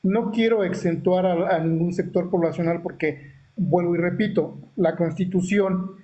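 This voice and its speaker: A man moderating an online panel discussion in a measured, formal way, with frequent fillers and restarts. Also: high-pitched, steady tone, dark tone, dull audio. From Mexico.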